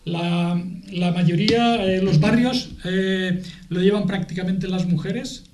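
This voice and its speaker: A middle-aged man with an accent from Spain, explaining things in a TV debate in a halting way, repeating and rephrasing as he goes. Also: high-pitched, a little mellow. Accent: Spanish from Spain. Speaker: guest, aid association spokesman. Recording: echoey room.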